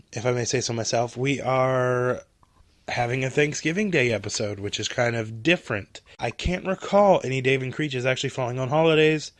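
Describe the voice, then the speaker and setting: Man, podcast host, monotone, rambling, just over a cold, dry close sound. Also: medium pitch, no echo. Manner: self-described monotone, rambling with many fillers. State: recovering from a cold. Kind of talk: casual solo podcast talk.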